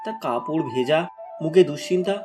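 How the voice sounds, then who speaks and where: High-pitched male story narrator. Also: animated, fairly quick, music in the background.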